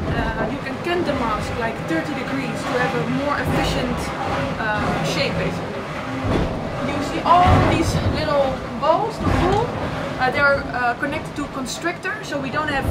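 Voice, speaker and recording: Young woman, high voice, animated, explaining sailing gear, set back from the mic, loud engine noise. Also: far from the mic. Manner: animated, gesturing while explaining. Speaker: sailor giving a boat tour. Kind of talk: casual explanatory tour. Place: cramped racing yacht cabin at sea. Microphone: on-board camera a little off.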